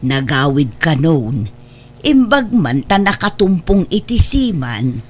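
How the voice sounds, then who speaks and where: A woman's voice: low-pitched, sing-song, slow, a little mellow, full character voice. A woman with a Filipino accent playing a concerned middle-aged mother in a comedy drama, confiding and motherly. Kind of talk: amateur comedy drama acting.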